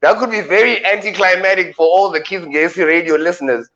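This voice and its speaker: A South African man with a deep voice, a radio co-host doing playful comic banter with drawn-out punchlines. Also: sharp tone, dull audio.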